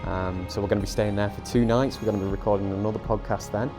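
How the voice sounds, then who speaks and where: A man's voice: on the low side, steady tone, fast talker, over music. A man co-hosting a podcast in casual chat, sounding nasal from a head cold, rambling with many ums. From England. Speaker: podcast co-host.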